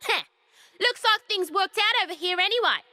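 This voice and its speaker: A woman's voice: very high voice, animated, normal speed, pushed and bright, dry recording, heavily acted. A woman playing a brash, foul-mouthed, loyal young female fighter in a video game cutscene, with a cocky, blunt, slangy manner. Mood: cocky, teasing.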